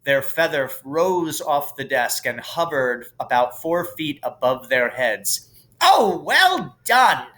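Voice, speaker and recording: A man narrates a children's storybook in a middle-pitched, forceful voice. His reading is expressive and unhurried, like a teacher reading to a class. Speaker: teacher reading a book aloud.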